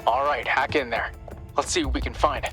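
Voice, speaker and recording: A man's voice: mid-range voice, fairly even intonation, moderate pace, natural voice. Man playing a wry young hacker. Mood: businesslike. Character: young adult male hacker ally, wry, sharp, probing. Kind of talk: dramatic game cutscene acting. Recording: music behind the voice.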